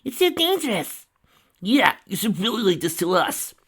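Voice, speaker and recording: A woman voicing a worried mother pony who talks gently but firmly to her daughter. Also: mid-range voice, very animated, moderate pace, husky, pitched above the performer's own voice.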